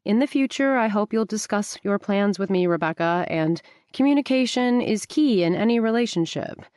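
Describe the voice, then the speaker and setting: A woman's voice: mid-range voice, lively intonation, moderate pace, darkish tone, dry recording, lightly acted. Woman voicing a stubborn young woman, earnest and a bit defensive, dry close sound.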